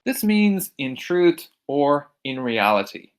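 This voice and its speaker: A man from Ireland with an American-sounding accent teaching English vocabulary, repeating each word slowly and clearly for learners. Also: a little high, very animated. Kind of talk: recorded vocabulary lesson.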